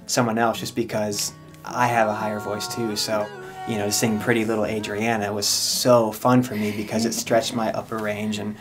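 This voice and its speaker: Earnest man over steady background music. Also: on the low side. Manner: earnest, many filler pauses. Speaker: country singer-songwriter. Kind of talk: casual interview chat. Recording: music playing underneath.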